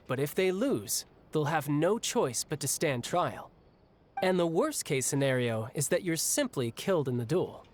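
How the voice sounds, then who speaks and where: An actor playing a young, restless, battle-hungry warrior in a video game, speaking in a breezy, reflective way. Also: male voice, on the high side, close to an everyday voice. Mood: explanatory. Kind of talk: video game cutscene acting. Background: game music.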